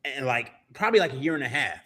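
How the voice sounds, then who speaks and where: An animated man, chatting as a podcast guest. His pitch swings widely as he tells stories, and he laughs and swears easily. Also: fast. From Philadelphia, USA. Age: 30s. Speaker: podcast guest, sports writer. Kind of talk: casual podcast chat.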